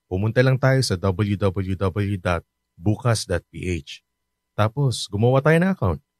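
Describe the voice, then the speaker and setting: Gentle fifty-year-old taxi-driver dad, reassuring, lowish voice, dry studio sound. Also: male voice, animated, unhurried, no echo. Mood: caring. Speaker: father. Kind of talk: radio drama acting.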